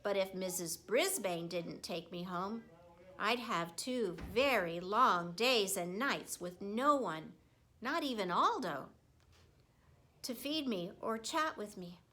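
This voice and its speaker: Female storybook reader, teacherly; slow, expressive delivery, bright and fairly high. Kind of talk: children's storybook narration.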